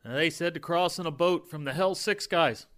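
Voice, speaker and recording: Actor as a higher-voiced American GI. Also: male voice, normal speed, a bit bright, natural voice. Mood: brisk. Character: young American WW2 soldier relaying orders, steady. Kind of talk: radio drama acting.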